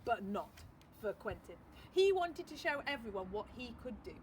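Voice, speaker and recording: A woman teacher reading a picture book aloud, expressive storytelling with dramatic pauses. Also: a little high, slight background noise. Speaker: primary school teacher.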